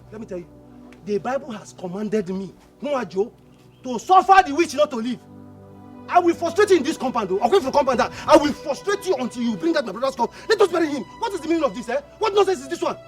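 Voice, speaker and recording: Fiery Nigerian brother, film drama: defiant, fast, swooping delivery, stuttered repeats. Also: male voice, extremely high pitch, sing-song, faint music behind. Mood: furious, taunting. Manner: stammering, repeats words insistently. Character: hot-headed defiant younger brother, raging and grieving.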